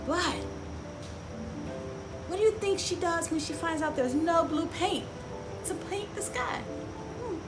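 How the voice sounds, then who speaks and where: A woman's voice: very high-pitched, takes it slowly, pauses often, music in the background. A middle-aged woman hosting a children's story time, reading a picture book aloud expressively and asking the young listeners questions. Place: outdoors on a porch by trees. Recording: clear outdoor ambient noise.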